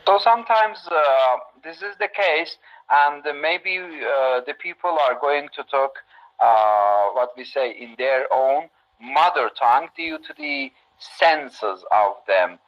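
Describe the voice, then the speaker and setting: Slow, halting Iranian man teaching. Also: medium pitch, lo-fi sound. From Iran. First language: Turkish. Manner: halting, many fillers and pauses. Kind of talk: online class talk to students.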